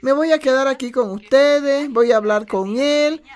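A high-voiced old Bolivian grandma played by an actress in a radio drama. She is folksy and doting, protective of her family, and talks in fond diminutives. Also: moderate pace, darkish tone, natural voice. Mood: reassuring. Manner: affectionate, folksy, uses many diminutives.

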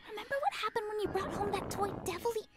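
A woman voicing a gentle, cautious young girl, a reluctant older sister in a visual novel game, speaking hesitantly. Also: very high voice, fast. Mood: doubtful, worried. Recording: music playing behind.

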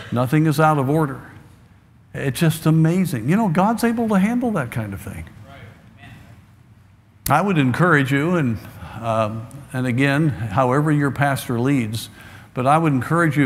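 A middle-aged man preaching from a church pulpit, exhorting the congregation earnestly and often dropping to a quieter tone. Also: medium pitch, a little hiss or hum. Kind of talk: sermon. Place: large church sanctuary, behind a wooden pulpit. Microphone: pulpit microphone. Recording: long hall reverb in a large sanctuary.

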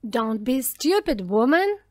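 A woman voicing an exhausted, frightened and bitter man in a storybook, shouting with scorn and despair. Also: high voice, big pitch swings, slow pace, dry recording, studio quality, heavily acted. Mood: angry scorn.

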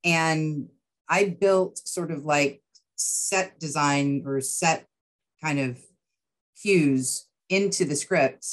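Low-voiced middle-aged woman, interview guest. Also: very slow. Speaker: guest, writer. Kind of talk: casual interview chat. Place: indoor room with bookshelves. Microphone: video call microphone.

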